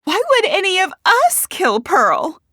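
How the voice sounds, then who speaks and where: A woman's voice: mid-range voice, very animated, slow, fairly bright tone, breathy edge, no echo, clean studio sound, full character voice. A woman voicing a hot-tempered, accusatory woman in an audiobook mystery, huffy and demanding. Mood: accusing.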